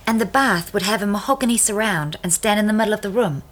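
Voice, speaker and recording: An actress playing an unpretentious, impressionable young wife in a radio drama, earnest and emotional in manner. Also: female voice, mid-range voice, quick pace, close to an everyday voice. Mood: wondering recollection. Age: young adult. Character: impressionable, anxious young married woman, unpretentious.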